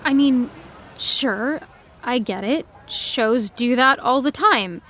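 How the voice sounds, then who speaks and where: A woman's voice: high voice, slow pace, a bit bright, a little hiss or hum. A blunt geeky 18 year old girl, sardonic, takes her time talking, audio drama feel. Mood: blunt, exasperated. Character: disillusioned, blunt eighteen-year-old fanfiction writer girl. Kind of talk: naturalistic audio drama dialogue.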